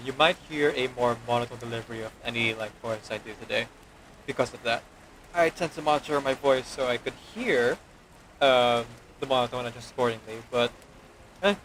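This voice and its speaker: Relaxed man streaming a game, faint noise. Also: medium pitch, poor audio. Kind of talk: live game stream, reading dialogue aloud and chatting. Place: indoors with storm outside. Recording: faint rain storm noise behind.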